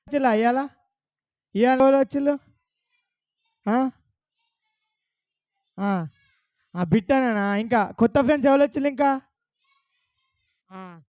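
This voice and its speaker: A man's voice: extremely high pitch, a little mellow, clean tone, no room sound, muffled recording. A man with a Telangana Telugu accent hosting a puppet show, coaxing a child audience with questions. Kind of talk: lively talk to a child audience.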